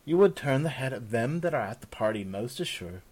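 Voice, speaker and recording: A man's voice: mid-range voice, animated, brisk, darkish tone, close to an everyday voice. A man voicing an eager, deferential young servant girl with polite, old-fashioned speech in a fantasy story. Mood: eager, reassuring. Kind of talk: fantasy audiobook character.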